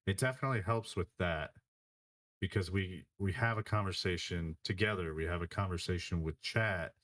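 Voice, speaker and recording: A man speaking as a guest and co-commentator on a casual podcast. He talks in a calm, measured way, with fillers. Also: deep voice, no room sound.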